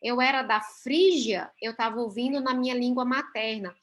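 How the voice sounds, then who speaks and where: A woman's voice: on the high side, normal speed. A Brazilian woman teaching a Bible class over a video call, explaining step by step and often checking in with her listeners. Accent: Brazilian Portuguese.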